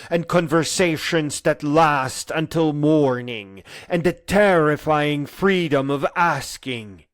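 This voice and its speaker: A man delivering a dramatic spoken-word monologue in an earnest, emphatic manner that moves between hushed and insistent phrases. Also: fairly high pitch, dry recording, studio quality.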